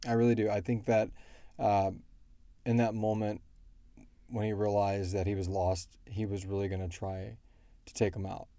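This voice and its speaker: Low, mellow-voiced man chatting on a podcast. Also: little pitch movement, normal speed, pauses often, dry recording. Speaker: podcast co-host and show creator answering fan questions. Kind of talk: casual question-and-answer chat about a fiction series.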